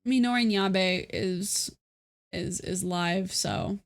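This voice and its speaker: A woman talking casually on a live stream from home, her voice pitched in the middle and a little stuffy. She rambles and restarts, full of fillers and self-mocking asides. The sound is close and dry, with no room echo. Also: slow pace. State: stuffy nose.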